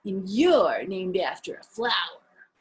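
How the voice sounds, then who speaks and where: A woman's voice: mid-range voice, sing-song, slow pace. A woman voicing a smug, teasing know-it-all little girl in a children's storybook, with pointed, matter-of-fact delivery.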